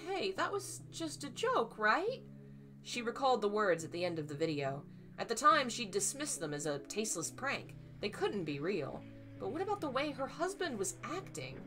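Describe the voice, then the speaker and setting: A woman in her thirties reads a novel aloud from a small room at home, her voice a little hoarse. She voices the dialogue with feeling and lifts her pitch for the frightened lines. Faint music plays quietly behind her. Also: very animated, normal speed. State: slightly hoarse. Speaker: streamer reading a novel aloud. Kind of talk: live book reading, then casual sign-off.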